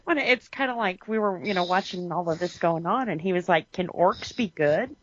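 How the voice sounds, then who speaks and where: A middle-aged woman co-hosting a casual podcast, chatty and animated as she tells stories. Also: on the low side, moderate pace, no echo. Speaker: podcast co-host. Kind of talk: casual chat. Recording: dry, slightly dull lo-fi audio.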